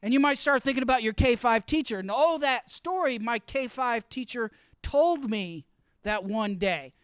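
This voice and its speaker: A man giving a lively teaching talk to students, often asking the room questions as he explains. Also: extremely high pitch, normal speed, smooth, dry recording, studio quality. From grew up partly in Costa Rica. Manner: asks the audience questions, explains with extended metaphor. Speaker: college speaker teaching study skills. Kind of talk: interactive classroom talk.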